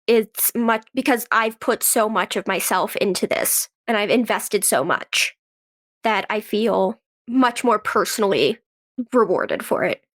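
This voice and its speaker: A woman's voice: medium pitch, a little slow, fairly bright tone. A woman speaking as an interview guest in a conversational, earnest and explanatory way. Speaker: podcast guest, actress and writer.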